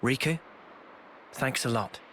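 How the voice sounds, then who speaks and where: An actor playing a calm, earnest, courteous young hero in a video game. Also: male voice, medium pitch, takes it slowly, a little hiss or hum. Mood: sincere. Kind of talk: video game cutscene acting.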